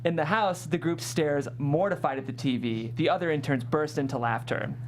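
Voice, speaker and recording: A woman with a low voice narrates the stage directions of a comedy script at a table read. A faint hum and some noise sit behind her. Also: moderate pace.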